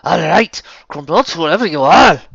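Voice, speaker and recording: A man's voice: a little high, very animated, normal speed, a bit bright, breathy edge, no echo, dull audio, pitched above the performer's own voice, exaggerated voice. A man voicing a villainous thick-set Scottish golfer in a story, taunting and blustering with a Scottish accent. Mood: menacing.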